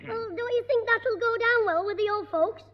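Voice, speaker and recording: A man's voice: very high voice, moderate pace. An actor playing a cheeky, mischievous schoolboy in a 1960s radio sitcom, wisecracking his way through. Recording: dull echoey 1960s radio recording.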